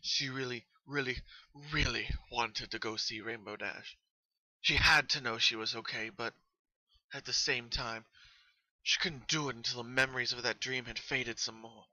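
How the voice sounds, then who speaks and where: A man reading a story as narrator, in an expressive, earnest dramatic style. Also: medium pitch, normal speed, no echo, highs cut off.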